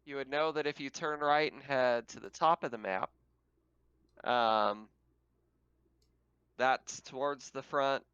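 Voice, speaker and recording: A man's voice: mid-range voice, fairly even intonation, slow pace, long pauses, fairly bright tone, clear, resonant tone, dry recording, lo-fi sound. A man acting as game master in casual, informal talk, explaining things and amused, over an online voice call. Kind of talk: casual tabletop game narration and chat.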